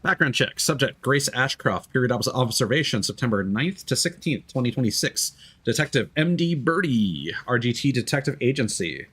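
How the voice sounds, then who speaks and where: A man streaming a video game, talking through it in an expressive, playful way with casual swearing. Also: medium pitch, normal speed, slightly rough. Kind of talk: gameplay stream commentary with dramatic reading aloud of in-game documents.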